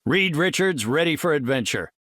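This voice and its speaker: An actor voicing a brainy, earnest middle-aged superhero scientist for a video game, articulate and formal, with scientific vocabulary. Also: male voice, fairly high pitch, expressive, moderate pace, fairly bright tone, dry recording, studio quality. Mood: warm, assured.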